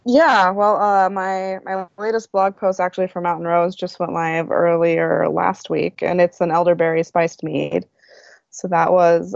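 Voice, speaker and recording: Gravelly low-voiced woman, casual chat. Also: a little mellow, no echo. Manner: chatty, restarts, laughs easily. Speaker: podcast guest, brewer. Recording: dull lo-fi call audio.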